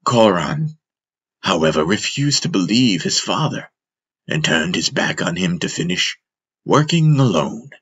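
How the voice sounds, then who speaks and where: A man narrating a fantasy audiobook in a measured, solemn, dramatic storytelling style. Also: low voice, sing-song, a bit bright, breathy edge.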